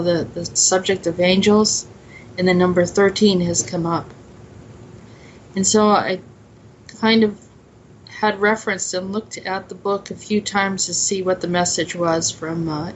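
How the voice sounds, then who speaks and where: A woman giving an informal spiritual talk in a halting, meandering way. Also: fairly low pitch, slight background noise, poor audio. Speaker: host and author presenting her own poem.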